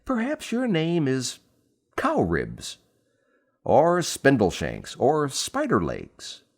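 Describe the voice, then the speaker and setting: An anxious young queen in a fairy tale, read by a man in his own low register. The delivery is meek and tentative, with expressive rises and falls. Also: takes it slowly, vocal fry, full character voice. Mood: tentative guessing.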